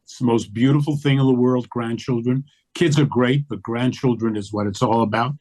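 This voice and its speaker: An older man speaks as a guest in an interview. His voice sits at a middle pitch, and he talks in a passionate, emphatic way. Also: fairly even intonation, moderate pace, a little mellow. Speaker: guest, activist. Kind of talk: interview conversation.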